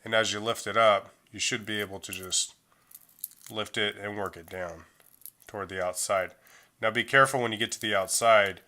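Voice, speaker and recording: A man's voice: on the low side, moderate pace. A middle-aged man giving a casual, step-by-step phone repair tutorial at a workbench, talking loosely with plenty of fillers. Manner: relaxed, loose delivery with frequent fillers.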